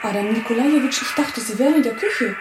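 A woman's voice: on the high side, quick pace, lightly acted. An actress playing a gossipy, envious, grumbling neighbour woman in a German radio play, chatty and needling. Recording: roomy, distant mic, faint music.

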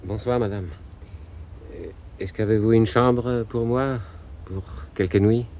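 Guy acting weary refugee man, deep low voice, measured, radio play, slight background noise. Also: steady tone, a little mellow, lightly acted. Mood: subdued, matter-of-fact. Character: reserved, weary male German refugee. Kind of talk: radio drama monologue and dialogue.

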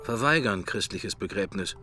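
A man's voice: on the low side, animated, normal speed, sharp tone, a little hiss or hum. An actor playing a musing, wry middle-aged man in a German radio drama, speaking his thoughts in clipped, understated fragments. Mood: brooding, musing.

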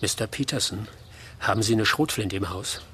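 An actor playing a dry, tough investigator in a German radio drama, terse and probing. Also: male voice, fairly low pitch, normal speed, sharp tone, a little hiss or hum. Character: dry, tough, unflappable investigator questioning witnesses.

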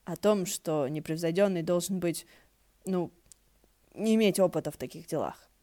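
A woman's voice: fairly low pitch, normal speed, dark tone, lightly acted. A woman voicing a prickly, awkward male ghost in an audiobook, snide and muttering. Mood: awkward, needling.

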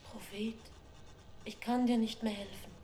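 An actress playing a lost, helpless angel in a radio play, speaking softly in a breathy half-whisper. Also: female voice, on the high side, fairly even intonation, measured pace, natural voice. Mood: sad, hushed. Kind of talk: German radio play acting.

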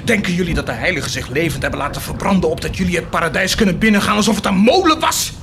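An impassioned, indignant preacher in a radio drama. His highish voice rises and falls in lively, declamatory sweeps, on a clearly noisy recording. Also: quick pace. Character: fiery, indignant preacher railing against the sale of indulgences. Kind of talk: theatrical radio drama acting.

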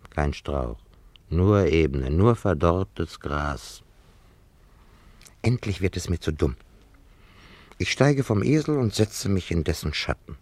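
A man's voice: very deep voice, darkish tone, husky, no echo, heavily acted. A man playing an irritable, sardonic dentist in a German radio play, narrating theatrically in clipped, emphatic phrases. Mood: weary, exasperated. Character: irritable, wine-loving male dentist in an ancient town.

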